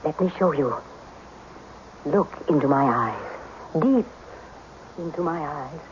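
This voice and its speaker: A woman's voice: low voice, animated, slow. An actress playing an intense, mysterious woman who probes souls in solemn, commanding phrases, in vintage radio drama style. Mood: solemn, hypnotic. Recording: dull, faintly noisy old radio recording.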